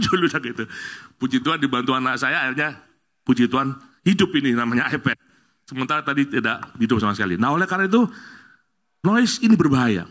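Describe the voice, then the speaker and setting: A middle-aged man from Bali leads a church prayer, then preaches a sermon to the congregation. His talk is lively, rising to loud exclamations and jokes with the congregation. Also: on the high side. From Bali, Indonesia. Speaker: guest preacher. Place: church service.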